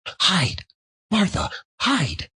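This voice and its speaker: A man voicing excited, shouting young children in a storybook reading. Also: high-pitched, very slow, breathy edge, pitched above the performer's own voice, exaggerated voice. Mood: urgent excitement.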